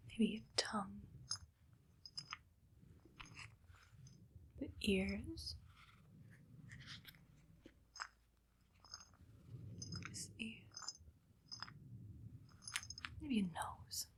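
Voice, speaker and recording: A woman playing a menacing, condescending evil doctor in a soft, whispered ASMR roleplay, speaking close to the mic with deliberate phrasing. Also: on the high side. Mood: quietly menacing. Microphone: close ASMR microphone.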